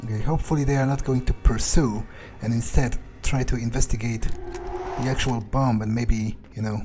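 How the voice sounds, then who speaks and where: A man playing a video game in character, thinking aloud in halting, musing, sweary commentary. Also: mid-range voice, steady tone. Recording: game music behind the voice.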